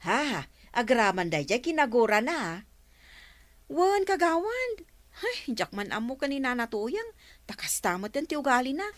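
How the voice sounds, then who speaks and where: A long-suffering village woman with an Ilocano accent. She speaks in a fairly high voice, recorded close and dry, with plaintive sighing interjections suited to radio comedy. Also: big pitch swings, measured pace, no room sound. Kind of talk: broadcast comedy radio drama acting.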